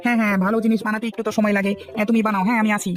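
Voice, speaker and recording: A man's voice: sits high, little pitch movement, racing, soft background music, lightly acted. A man voicing a fussy, talkative male character in a children's cartoon, with exaggerated delivery and repeated words. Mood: eager, chatty.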